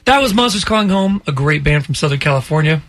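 A man's voice: on the high side, animated, fast talker, a bit bright, no room sound. A man hosting a morning radio show in a studio, chatty and flustered, stumbling over words. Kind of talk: casual morning radio banter. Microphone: studio microphone.

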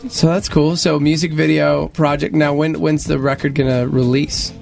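A man's voice: fairly high pitch, little pitch movement, moderate pace, gravelly, over music. A man hosting a casual studio chat, jokey and teasing his guests. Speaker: radio show host.

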